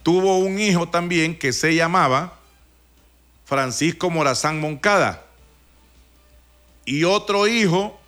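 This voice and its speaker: A man's voice: fairly high pitch, a bit bright. A man from Honduras with a Honduran Spanish accent, hosting a casual radio talk show and telling stories with many restarts and fillers. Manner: rambling, storytelling with frequent restarts and fillers.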